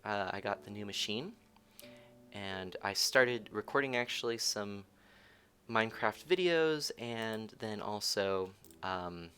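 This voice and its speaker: Giggly streamer with a bright, lively voice. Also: male voice, on the low side, takes it slowly. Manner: giggly and teasing, thinks aloud; rambling with frequent restarts. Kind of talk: casual live gameplay streaming, chatting with viewers.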